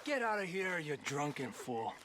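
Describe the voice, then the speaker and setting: High-voiced, prickly outlaw. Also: male voice, sing-song, moderate pace. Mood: scornful. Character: prickly, defiant male outlaw. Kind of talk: video game character acting. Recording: very noisy outdoor game ambience.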